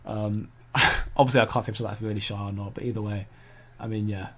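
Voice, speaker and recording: A man's voice: fairly low pitch, flat delivery, a little fast, a bit bright, husky. A man, hosting a casual crypto livestream and answering viewer comments in a chatty, self-correcting way. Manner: chatty, self-correcting, laughs easily at chat.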